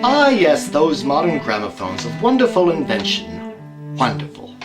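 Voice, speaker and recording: A man playing a suave, mocking gentleman detective in an audio drama, with a genteel, precise, drily amused delivery. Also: a little high, wildly swooping pitch, moderate pace, over music, voice pushed up. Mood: pleased. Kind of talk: amateur audio drama acting.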